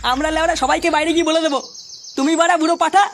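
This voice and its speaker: A man's voice: very high voice, fairly even intonation, very fast. A man voicing a grumbling married worker who is meek before his boss, in a coarse comic cartoon style. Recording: loud noise behind the voice.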